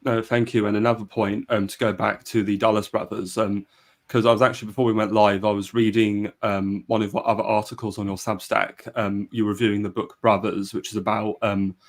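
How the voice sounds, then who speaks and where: A man with a low voice hosts a live history discussion. He talks in an even, flat line and often stops for fillers and false starts. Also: a little fast. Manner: hesitant, many fillers and restarts. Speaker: podcast host. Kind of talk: casual live-stream discussion.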